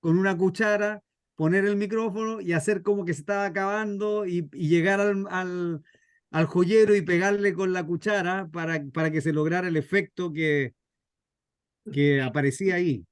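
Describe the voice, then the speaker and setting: A man's voice: sits high, fairly even intonation, moderate pace, smooth, dry recording, studio quality. A man with a Chilean accent explaining things informally, as the teacher of a workshop. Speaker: scriptwriter leading a radio drama workshop.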